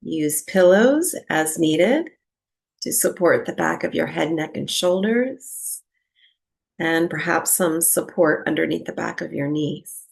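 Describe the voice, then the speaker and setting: A woman teaching breathwork, giving gentle, unhurried guided relaxation instructions with long pauses between phrases. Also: low-pitched, animated, gravelly.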